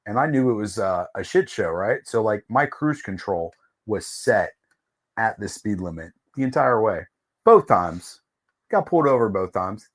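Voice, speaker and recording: A man's voice: on the low side, a bit bright. A man chatting casually and jokingly, riffing with wry quips.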